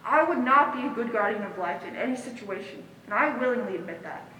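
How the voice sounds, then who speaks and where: A woman's voice: fairly high pitch, animated, fast, sharp tone. A woman acting a blunt, self-deprecating figure in a stage monologue, wry and emphatic. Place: stage in a large hall, distant mic. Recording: echoey hall from far off, noisy.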